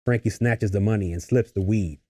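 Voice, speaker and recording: A man's voice: lowish voice, a little fast, mellow. A man reading stage directions as scripted narration for a table read.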